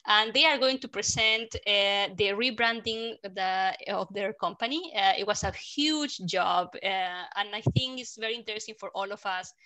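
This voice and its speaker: Woman host, bright voice, halting with many fillers, headset mic. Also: mid-range voice. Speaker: host of a design community program. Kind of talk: hosting a video call session. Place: home room indoors. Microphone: headset mic on a video call.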